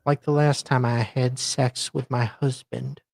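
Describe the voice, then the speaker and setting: Male co-host, fairly average pitch, mock-theatrical and sardonic reader, close dry sound. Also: flat delivery, a little slow, creaky, a little mellow, no room sound. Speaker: co-host doing a dramatic reading. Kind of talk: dramatic reading of legal filings with sardonic asides.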